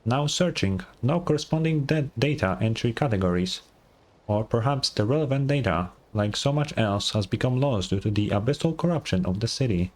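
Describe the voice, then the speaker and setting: Formal ancient robot record-keeper, read by a man, middle-pitched. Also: lively intonation, darkish tone, close to an everyday voice. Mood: polite, matter-of-fact. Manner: formal, measured, stiff. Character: huge ancient record-keeping dragon robot, formal and helpful. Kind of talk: reading game dialogue aloud.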